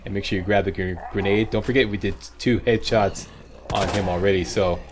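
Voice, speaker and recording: Low-voiced Canadian man, game walkthrough commentary. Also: steady tone, a little fast, background noise. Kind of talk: informal instructional gameplay commentary. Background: game sound.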